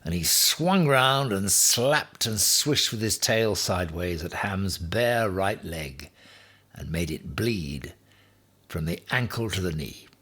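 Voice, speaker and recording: A man reading a children's story aloud in a deliberate, expressive way with dramatic emphasis. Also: sits low, breathy edge. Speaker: story reader.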